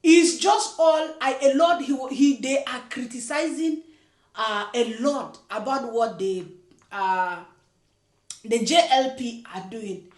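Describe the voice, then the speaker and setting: Woman vlogger going on about politics, high voice, laughs a lot, echoey room. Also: slow pace. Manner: chatty, emphatic, laughs often, repeats phrases. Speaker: channel host. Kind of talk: political commentary vlog.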